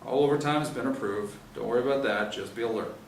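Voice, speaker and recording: A man's voice: medium pitch, fast talker, natural voice. A man voicing a stern, no-nonsense police sergeant giving orders with clipped authority, in an audiobook-style story reading. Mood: stern, commanding. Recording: roomy, distant mic, faint noise.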